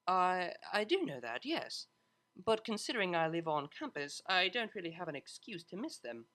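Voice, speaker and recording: A prim young mare cellist from a pony storybook, put on by a narrator. She is refined and formal, slightly awkward and hesitant, with lilting, animated pitch. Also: moderate pace. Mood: polite, hesitant. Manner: proper, hesitant, formal wording.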